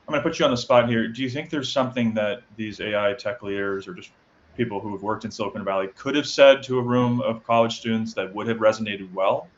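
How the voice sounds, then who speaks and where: A man's voice: fairly low pitch, quick pace. A man hosting a conversational news interview, putting questions to guests. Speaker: interview host. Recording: muffled audio with clear background noise.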